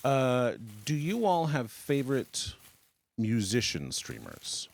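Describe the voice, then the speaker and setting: A man's voice: medium pitch, wildly swooping pitch, measured pace, gravelly. A man bantering casually as a stream co-host, joking and rambling in a mock-earnest way.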